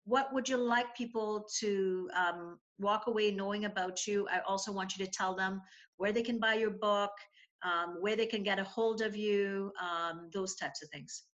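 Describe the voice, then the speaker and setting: A woman's voice: medium pitch, steady tone, moderate pace, a bit bright, lo-fi sound. A woman in her fifties hosting an interview show, speaking in a friendly way with many hesitations. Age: 50s. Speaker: host.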